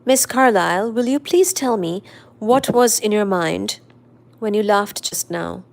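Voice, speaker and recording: Woman reading as a blunt country doctor, calm, probing, storybook reading. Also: mid-range voice, a little slow, creaky.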